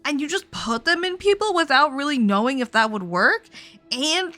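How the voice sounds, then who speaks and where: Woman game streamer, bright forceful voice, very animated pitch, incredulous, slangy, casual, faint music behind. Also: normal speed. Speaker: livestreamer. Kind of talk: casual reaction commentary on a game.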